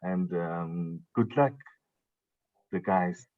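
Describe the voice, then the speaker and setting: A man's voice: sits low, very slow, dry recording. Hesitant man on a tinny line. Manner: hesitant, non-native phrasing, many fillers. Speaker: conference questioner. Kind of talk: conference Q&A. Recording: dry tinny phone-like line.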